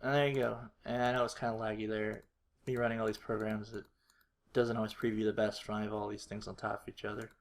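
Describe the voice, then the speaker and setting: A man thinking aloud through a casual screen-recorded tutorial, halting and self-deprecating, muttering to himself. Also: fairly low pitch, little pitch movement, gravelly. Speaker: video creator demonstrating editing. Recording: noisy home mic with keyboard clicks. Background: typing and mouse clicks.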